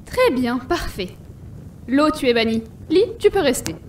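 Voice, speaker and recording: A woman's voice: high-pitched, animated, measured pace, slight background noise. A woman playing a cruel, paranoid teenage princess in a cartoon fan dub, commanding and contemptuous, recorded at some distance. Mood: furious, commanding. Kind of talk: animated series fan dub.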